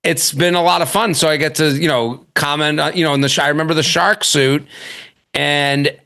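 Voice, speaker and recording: A man's voice: fairly high pitch, fairly even intonation, fairly quick, pushed and bright. A man in his forties chatting as a podcast guest, rambling with many fillers and restarts. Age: 40s. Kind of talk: casual chat.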